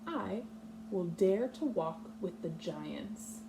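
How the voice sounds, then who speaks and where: A woman leading a read-aloud lesson for young children, speaking patiently in simple, deliberate, instructional phrases. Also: mid-range voice, expressive, vocal fry, background noise. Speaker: kindergarten teacher reading a picture book aloud.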